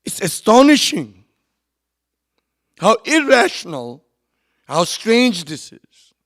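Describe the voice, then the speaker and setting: A man giving a sermon at a memorial gathering, moving between quiet, conversational asides and loud, emphatic lines, with quick runs of recited Arabic verse. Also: high voice, very animated. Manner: swings from soft asides to loud emphatic preaching. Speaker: religious speaker at a memorial gathering. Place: hall at a community centre.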